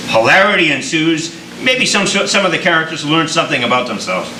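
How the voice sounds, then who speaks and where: A breezy male screenwriter pitches his big idea as a man acts him out in a staged reading. His voice is fairly high and swings in a lively way. It is picked up from far off in an echoey theater. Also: fairly quick, heavily acted. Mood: breezy, pitching. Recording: distant mic in echoey noisy theater.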